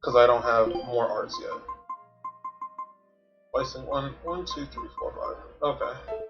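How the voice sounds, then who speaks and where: A man's voice: medium pitch, slow, dull audio, soft background music. Casual male gamer thinking aloud. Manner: thinks aloud, explains to himself. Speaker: video game streamer. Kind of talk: casual gameplay commentary, reading tutorials aloud.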